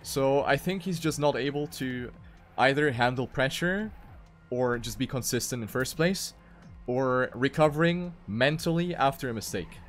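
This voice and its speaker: A man's voice: medium pitch, lively intonation, normal speed. A man doing live commentary on an esports racing game as one of the casters. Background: music.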